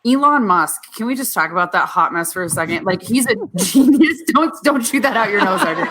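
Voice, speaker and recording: A woman's voice: mid-range voice, expressive, a little fast, a bit bright. A woman hosting a podcast in casual banter, brash and emphatic with a salty tongue. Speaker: podcast host. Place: a friend's house.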